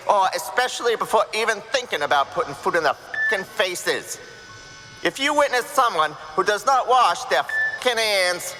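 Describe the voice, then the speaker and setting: A woman's voice: medium pitch, close to an everyday voice. A woman voicing a stern, exasperated official notice in an emphatic, bossy announcement style. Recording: long hall reverb, loud music.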